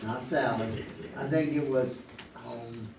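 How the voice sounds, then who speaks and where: A man's voice: mid-range voice, fairly even intonation, takes it slowly, noisy background, natural voice. A man playing a chatty, rambling contestant on a staged 1950s quiz show. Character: chatty middle-aged male quiz contestant. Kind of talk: live stage radio acting. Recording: roomy theater, far mic.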